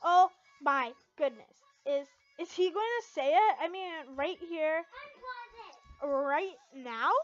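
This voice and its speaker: A young woman voicing an excitable, lovestruck young heroine in a story reading, dramatic and flustered. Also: high-pitched, slow pace, dry recording, faint music behind. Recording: dull, lo-fi home recording.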